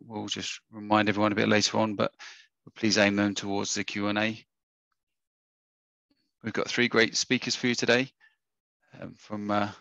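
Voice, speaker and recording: A man with a husky voice, hosting an online webinar in a casual, hesitant way with many fillers and restarts, speaking over a video call. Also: on the low side, fairly bright tone, no room sound. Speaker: webinar host. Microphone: webcam or headset on a video call.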